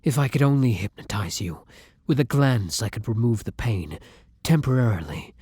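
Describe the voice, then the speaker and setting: A man playing a kind, tormented vampire in an intimate roleplay audio drama, murmuring softly and breathily in formal, old-fashioned phrasing. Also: fairly low pitch, slightly rough, dry recording, exaggerated voice. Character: kind, tormented male vampire, tender and protective lover.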